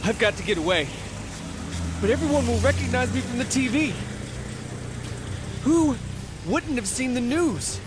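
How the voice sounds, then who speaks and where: A man's voice: extremely high pitch, expressive, a little slow, long pauses, over music. An actor playing an anxious eighteen-year-old boy on the run in an audio drama. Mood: tense, thinking.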